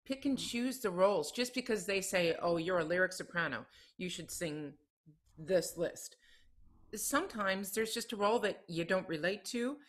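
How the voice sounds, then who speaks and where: A woman's voice: medium pitch, animated, moderate pace. A woman co-hosting a casual chat on a video call, emphatic and playful. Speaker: co-host.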